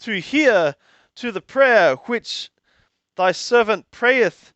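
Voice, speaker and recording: A man reading scripture aloud and translating it phrase by phrase in a deliberate, halting manner, often repeating and rephrasing. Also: high voice, animated, a bit bright, no echo, highs cut off.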